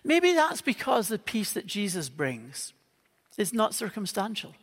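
A man's voice: high voice, wildly swooping pitch, unhurried, fairly bright tone, husky, in a large hall. A man with a Northern Irish accent preaching an earnest Christmas Eve sermon as a pastor. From Northern Ireland. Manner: earnest, with playful humorous asides. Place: church auditorium.

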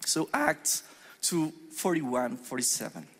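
High-voiced Colombian pastor in a reverberant hall. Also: male voice, wildly swooping pitch, a bit bright, slightly rough. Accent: Colombian Spanish. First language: Spanish. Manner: earnest, measured preaching with deliberate pauses on scripture. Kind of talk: sermon. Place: church hall.

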